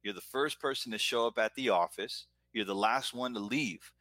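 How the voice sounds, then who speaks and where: A man's voice: fairly low pitch, moderate pace, pushed and bright, no echo. A middle-aged man with an American accent, talking earnestly and at length about himself in a casual conversation. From Florida, United States. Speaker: guest, entrepreneur and business owner. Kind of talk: casual chat, personal reflection.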